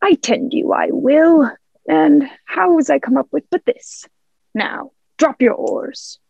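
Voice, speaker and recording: A woman's voice: high voice, takes it slowly, vocal fry, darkish tone, no echo, heavily acted. A young woman playing a sly, wheedling pirate in a radio play, speaking in ingratiating old seafaring dialect. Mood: menacing. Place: indoor room, remote video recording. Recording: muffled home remote recording.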